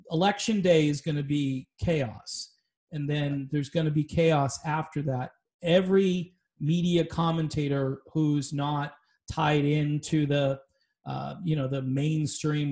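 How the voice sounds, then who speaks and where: A man's voice: on the high side, darkish tone. A man hosting a casual political podcast chat, talking loosely and wandering off on tangents. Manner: loose, rambling, tangent-prone. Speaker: podcast host.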